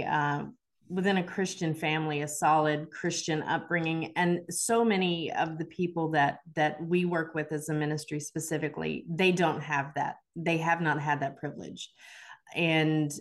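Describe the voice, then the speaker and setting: A woman hosting a faith interview, opening with a prayer and asking earnest, gentle questions. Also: deep voice. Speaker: host.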